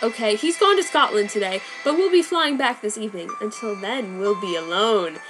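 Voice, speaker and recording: A cocky, teasing young man , read by a woman close to her own voice, drawls his lines slyly. His pitch stays in a middling range. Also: lively intonation, moderate pace, over music, close to an everyday voice, poor audio.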